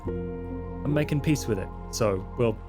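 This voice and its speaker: A man's voice: mid-range voice, slow, music in the background, lightly acted. A man playing a casual young fledgling vampire, slangy and offhand, with hesitant phrasing. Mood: sincere, uneasy.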